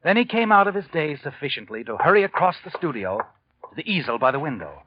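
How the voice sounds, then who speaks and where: A man's voice: a little high, very animated, brisk, slight background noise. An actor playing an ominous, all-knowing male narrator in a sinister, dramatic 1940s radio suspense style. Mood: tense, hushed. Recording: dull 1940s radio recording, music behind.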